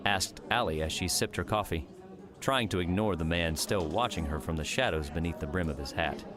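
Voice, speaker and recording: A man with a low voice narrates an audiobook story from a script. Music plays clearly behind his reading. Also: fairly quick.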